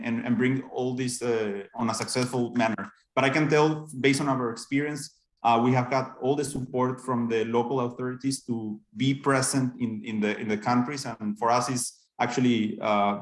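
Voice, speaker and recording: Male panelist, halting delivery, full of fillers, roomy echo on the recording. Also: mid-range voice. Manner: halting, many fillers and restarts. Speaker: project sponsor panelist. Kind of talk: panel discussion. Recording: echoey room.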